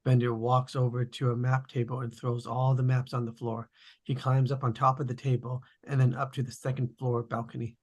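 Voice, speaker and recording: A man with a gravelly, husky voice reads stage directions as narrator of a script table read. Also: mid-range voice, flat delivery, fairly quick.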